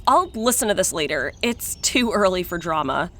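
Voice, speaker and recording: A woman's voice: lowish voice, sing-song, normal speed, a bit bright. An actress playing an impatient, dismissive and irritable woman in an audio drama. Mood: impatient annoyance.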